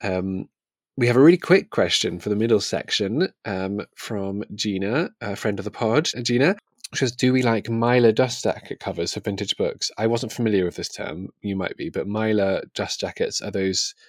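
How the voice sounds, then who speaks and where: A British man with a low voice co-hosts a casual book podcast. He is chatty and self-deprecating, recorded close and dry. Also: moderate pace, no room sound. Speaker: podcast co-host.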